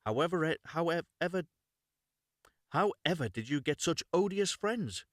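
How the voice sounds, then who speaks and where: A man's voice: a little high, lively intonation, a little slow, no echo, clean studio sound, voice pushed up. A man playing a young fairy-tale prince, a curious and appalled bridegroom.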